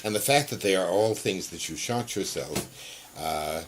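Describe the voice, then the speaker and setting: Mature male interviewer, low register, effusive and discursive. Noisy interview recording. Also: animated, moderate pace. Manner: effusive, wordy, circling phrasing. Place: photographer's home studio office. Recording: noisy old interview tape.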